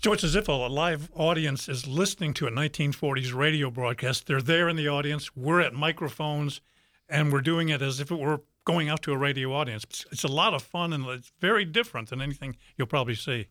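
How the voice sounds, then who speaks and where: A man who acts on stage talks as an interview guest in a conversational way. His voice is bright and slightly high, recorded dry and close. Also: fairly quick, no room sound.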